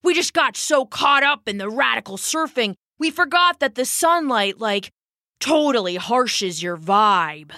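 A woman's voice: fairly high pitch, expressive, unhurried, sharp tone, dry recording, clean studio sound, full character voice. A woman voicing a laid-back male werewolf who talks like a surfer dude, in a storybook reading. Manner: surfer slang, drawling and easygoing.

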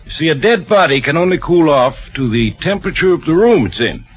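An actor playing a mild, deliberate country doctor in a 1940s radio drama, shrewd and unhurried. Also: male voice, a little high, big pitch swings, slightly rough. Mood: mildly insistent. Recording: muffled old radio recording.

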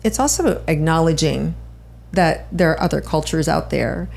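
A woman chatting on a podcast as host and spiritual channeler, rambling with quick laughs. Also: deep voice, lively intonation, vocal fry, darkish tone. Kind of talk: casual chat.

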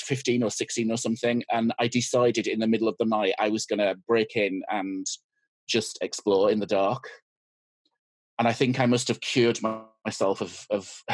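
A man's voice: medium pitch, no echo. A man with an English accent chatting casually as a podcast guest, hesitant and often restarting his thoughts. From England. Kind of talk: casual interview chat.

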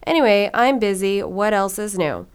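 Young woman podcast host; chatty, filler-heavy, smooth and resonant tone. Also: mid-range voice, expressive, normal speed. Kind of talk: casual monologue and interview.

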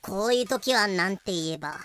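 A woman playing a brash, easily flustered pirate crew member with a casual, excitable manner in an audio drama. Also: medium pitch, fairly quick, natural voice. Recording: music bed behind voice.

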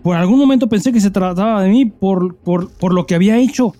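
A man's voice: high-pitched, fairly quick, mellow, no echo, faint music behind. A man with a Mexican accent, a podcast co-host who speaks in a slangy, sweary style. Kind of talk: casual podcast chat; dramatized reading of a listener email over music.

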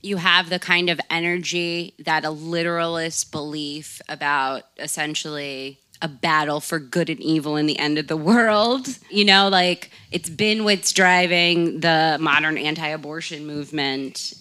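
Female panelist, slightly low voice, bright and forceful, casual political chat. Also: steady tone, unhurried, hardly any pauses, clear, resonant tone. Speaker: podcast panelist.

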